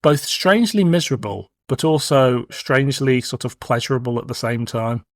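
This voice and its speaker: A man's voice: mid-range voice, normal speed, dry recording, clean studio sound. British man in his forties, chatting casually. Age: 40s. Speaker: podcast host. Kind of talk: casual chat.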